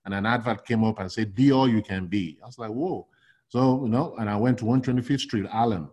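A middle-aged man from Nigeria, a logistics consultant talking casually in an interview, animated and full of restarts and "you know". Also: fairly low pitch, a little fast, breathy edge.

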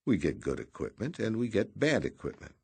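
A man's voice: lowish voice, very animated, a little fast, gravelly, husky, no echo, heavily acted. A man voicing a small, elegant scientist who speaks in a dry, sardonic and polite way.

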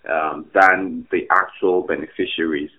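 Deep-voiced man on a tinny line. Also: fairly even intonation, unhurried. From Ghana. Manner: thoughtful, hesitant with fillers and restarts. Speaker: academic guest. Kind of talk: podcast interview answers. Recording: tinny phone-like remote line.

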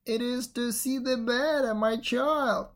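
A woman's voice: on the high side, fairly even intonation, slow, voice pushed up. A woman voicing a sly male wolf in a fairy tale, coaxing with mock tenderness.

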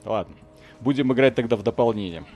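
A man's voice: mid-range voice, quick pace, a little mellow. A man hosting a live video game stream, chatting casually in slangy, joking language with mild expletives.

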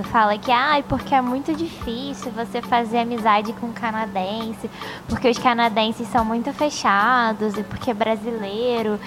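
A Brazilian woman with a high voice hosts a casual podcast. She talks in a lively way and laughs easily. Clear music plays behind her. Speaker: podcast host.

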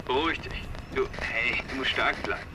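A man's voice: mid-range voice, moderate pace, background noise, natural voice. A man playing a skeptical, placating radio talk host with a patronizing, teasing manner in an audio drama. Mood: amused, placating.

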